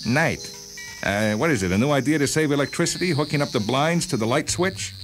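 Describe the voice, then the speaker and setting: A man's voice: mid-range voice, expressive, brisk, a bit bright, a little hiss or hum, lightly acted, poor audio. A man playing a cranky middle-aged store owner who grumbles and complains irritably in a radio-style story. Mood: grumpy. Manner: irritable, grumbling, hesitant starts.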